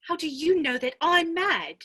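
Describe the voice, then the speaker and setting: A high, bright-voiced storybook girl acted by a woman, polite and earnest. The speech is very animated, prim and curious, with a fretful edge. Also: a little slow, heavily acted. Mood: questioning, earnest. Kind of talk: dramatic reading of a children's classic.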